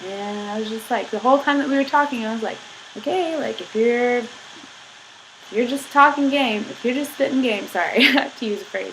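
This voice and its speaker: Chatty young woman with a sick, husky voice. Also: a little high, normal speed, a bit bright, slight background noise, poor audio. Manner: chatty, filler-heavy, giggly, says "like" constantly. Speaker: vlogger. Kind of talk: rambling casual vlog rant.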